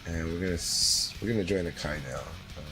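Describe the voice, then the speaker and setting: Low-voiced man chatting on stream. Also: fairly quick, over loud music, rough recording. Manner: relaxed, rambling, many fillers. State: voice deeper than usual after secondhand smoke. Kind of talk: casual livestream chat.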